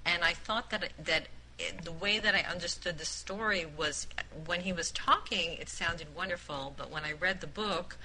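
A woman giving an informal spoken book review in a group discussion, halting and repeating words as she makes emphatic points. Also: fairly low pitch, pushed and bright, a little hiss or hum, rough recording. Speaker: book club member who picked the book.

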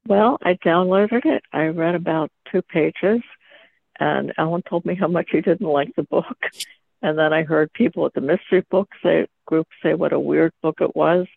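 A woman in a book group discussion with a gravelly voice, telling her story in a steady, earnest way. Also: low voice, moderate pace, no echo, phone-quality sound.

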